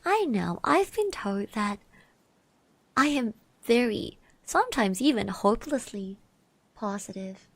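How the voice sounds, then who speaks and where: Woman, slightly high voice, very slow pace, soft ASMR delivery, gentle encouragement, thoughtful pauses and hums. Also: big pitch swings, no echo. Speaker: ASMR roleplay streamer. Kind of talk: soft-spoken ASMR roleplay, personal encouragement. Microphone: close microphone, dry.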